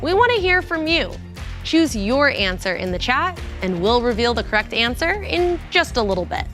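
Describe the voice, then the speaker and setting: A woman's voice: on the high side, big pitch swings, moderate pace, fairly bright tone, faint music behind. A woman co-hosting a live tech event stream, bubbly and playful in her banter. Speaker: event co-host.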